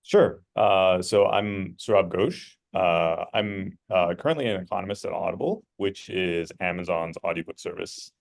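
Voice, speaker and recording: A man economist introducing himself on a video call, measured, often starting with "uh". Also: sits low, gravelly. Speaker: panelist, economist. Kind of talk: webinar self-introduction.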